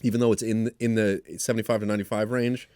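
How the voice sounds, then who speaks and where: A man with a fairly low voice chats as a podcast co-host. He talks casually and haltingly, often repeating a phrase before moving on. The sound is dry, with little room echo. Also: level tone, darkish tone. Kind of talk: casual banter.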